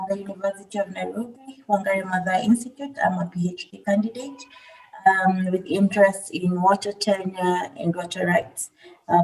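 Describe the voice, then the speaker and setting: A woman speaks in a mellow voice, slowly and with fillers. She sounds set back from the microphone. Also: medium pitch. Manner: slow, with fillers. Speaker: PhD candidate. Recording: distant from the mic.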